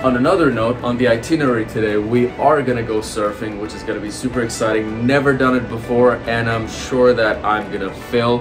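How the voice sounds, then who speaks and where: A young man from Bangladesh hosting a joking, upbeat travel vlog on a beach, talking into a lapel mic. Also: mid-range voice. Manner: fast, joking, self-mocking delivery. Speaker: travel vlogger. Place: outdoors on a beach. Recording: music playing under the voice.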